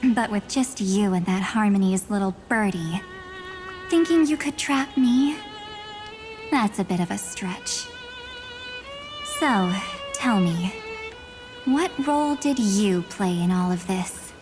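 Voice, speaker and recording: A cool, taunting woman antagonist from a video game. She speaks slowly and deliberately, teasing with quiet confidence. Her voice echoes over a bed of music. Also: mid-range voice, expressive. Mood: amused, probing. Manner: measured, condescending, teasing.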